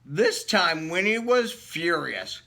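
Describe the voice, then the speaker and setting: Man, animated storybook reader, very bright voice, unhurried pace, playful asides to young listeners. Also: high-pitched, vocal fry. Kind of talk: animated picture book read-aloud with asides to listeners.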